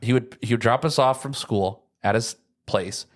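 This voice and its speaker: A man's voice: mid-range voice, normal speed. A young man, chatting casually as a podcast co-host in a rambling, anecdotal, slangy way. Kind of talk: casual chat.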